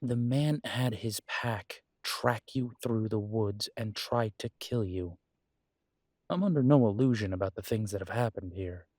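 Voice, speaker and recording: A man plays a protective male werewolf talking intimately to his partner in an audio roleplay. His voice sits fairly low and moves very slowly, with earnest, halting pauses. The sound is close and dry, with no room around it. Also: darkish tone, dry recording. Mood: grave, restrained anger.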